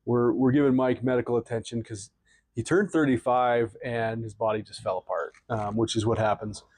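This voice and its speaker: A man's voice: mid-range voice, steady tone, normal speed, a little mellow, dry recording. A man in his late thirties co-hosting a podcast, joking and teasing in casual chat, laughing often. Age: 30s. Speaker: podcast co-host.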